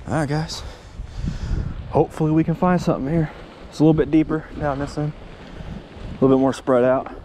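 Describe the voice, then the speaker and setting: A man's voice: on the high side, steady tone, brisk, long pauses, background noise. A man hosting a casual magnet fishing vlog, chatting outdoors by the water. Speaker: magnet fishing video host.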